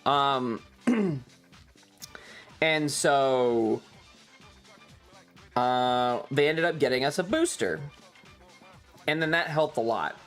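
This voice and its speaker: Male streamer, slightly tired, unhurried rambling chat with swearing, music underneath. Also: medium pitch, fairly bright tone. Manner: sweary, self-deprecating. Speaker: livestream host.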